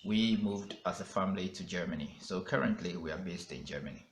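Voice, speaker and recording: A man from Ghana co-hosting a family vlog, telling a story casually with a relaxed manner and restarts, filmed on a phone. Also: deep voice, brisk, echoey room, slight background noise. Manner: relaxed, with many mm-hmms and restarts. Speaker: vlog co-host. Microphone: phone camera.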